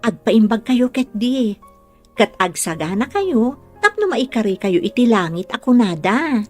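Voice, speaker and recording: A woman's voice: fairly high pitch, expressive, unhurried, darkish tone, soft background music. An actress with a northern Philippine accent playing a cheerful, caring young female caregiver who teases and encourages in a radio drama. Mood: caring, teasing. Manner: teasing and encouraging.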